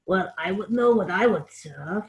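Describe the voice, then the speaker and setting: A woman's voice: mid-range voice, lively intonation, a little slow, muffled recording, lightly acted. A woman voicing a chatty, eager, honey-loving bear puppet in a homely way for a children's story time.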